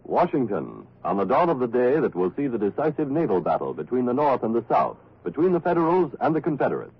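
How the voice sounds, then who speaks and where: A male announcer in the dramatic 1940s radio style. His delivery swings very widely in pitch, with a husky edge to the voice. The sound is dull and aged, like an old broadcast.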